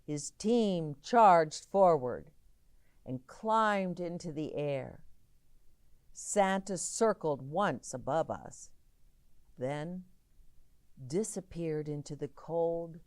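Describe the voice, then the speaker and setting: A woman with a low voice reads a children's storybook aloud at a very slow, deliberate pace. Her delivery is expressive and lively in pitch, with dramatic pauses that set single words apart. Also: dry recording.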